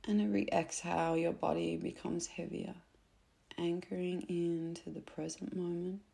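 A woman guiding a meditation in a soft, gentle, unhurried way, with phrase endings that turn breathy. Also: fairly low pitch, little pitch movement. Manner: gentle, unhurried, instructing softly with pauses. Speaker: meditation guide. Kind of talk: soothing guided meditation narration.

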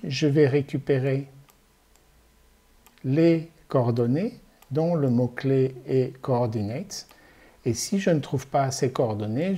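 Older male teacher, calm step-by-step tutorial voice-over, mellow and slightly husky. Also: medium pitch. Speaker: programming teacher. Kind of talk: screen-recorded coding tutorial.

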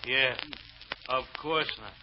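A man's voice: medium pitch, takes it slowly, natural voice. A man playing a loyal but suspicious porter in an old radio detective drama, with folksy, ungrammatical working-class speech. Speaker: actor. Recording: dull, noisy old radio recording with clicks.

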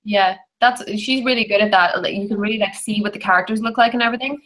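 A woman, giving her opinions in a chatty, animated way in a casual book discussion. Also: mid-range voice, fast talker. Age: 20s. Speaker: book club panelist. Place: bedroom, indoors. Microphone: computer video call.

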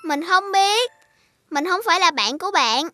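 Tiny, bright cartoon schoolkid, earnest peacemaker with a piping high voice. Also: female voice, lively intonation, normal speed, no room sound, heavily acted. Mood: huffy. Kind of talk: children's cartoon dubbing. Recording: faint music behind the voice.

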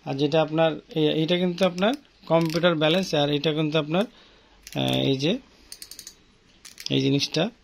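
A man with a Bangladeshi accent presents products informally in a fairly high voice. He explains as a seller does, often repeating a phrase. It is a handheld phone recording in a small shop, with light background noise. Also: fairly even intonation, normal speed, creaky, pauses often. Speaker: fishing tackle seller. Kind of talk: informal product showcase for customers. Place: small indoor fishing tackle shop. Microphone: handheld phone near the speaker. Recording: noisy phone recording with clicks.

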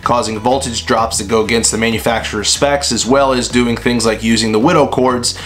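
A man in his thirties, an HVAC technician, casually reviewing a tool at a desk in a small workshop, talking in a relaxed way with fillers. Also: medium pitch, a little fast, a little hiss or hum. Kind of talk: casual product review vlog. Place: small indoor workshop with bookshelves.

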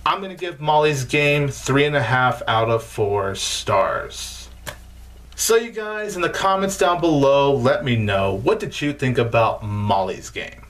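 Guy in his 20s doing a casual movie review, medium pitch, stresses his points. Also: expressive, measured pace, a bit bright. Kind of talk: casual video review to camera. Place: small indoor room with posters.

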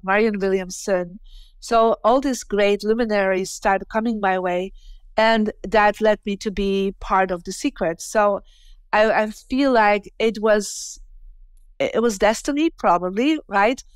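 Smooth-voiced woman on a video call. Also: mid-range voice, no echo. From Belgium. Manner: chatty, frequent "you know" and "right?" tags. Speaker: guest, feng shui teacher. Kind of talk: conversational interview answers. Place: bright indoor room at home. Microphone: earphone headset on a video call.

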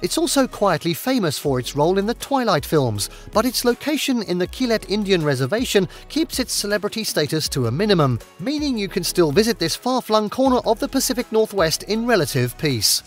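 A man with a high, bright-sounding voice reads a scripted travel narration. His pitch swings widely as he delivers an upbeat countdown, with soft music playing faintly underneath. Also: big pitch swings, brisk, few pauses.

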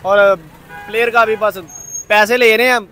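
A man's voice: sits high, fairly even intonation, normal speed, sharp tone. Incredulous young man at a night market. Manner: incredulous, explaining. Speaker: interviewee. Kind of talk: street vox pop. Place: busy market street at night, outdoors. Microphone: handheld mic.

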